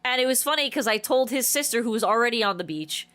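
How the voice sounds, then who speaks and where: A woman's voice: on the high side, fast. A woman streamer chatting casually and rambling through a story, her voice stuffy and nasal from a blocked nose. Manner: rambling, animated storytelling with many restarts. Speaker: game streamer. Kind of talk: casual livestream chat.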